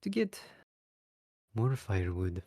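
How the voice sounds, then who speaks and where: A man voicing a gruff, awkward male ogre who talks haltingly and shyly. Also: on the low side, sing-song, mellow, dry recording, clean studio sound, full character voice. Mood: defensive. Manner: halting, shy, hesitant.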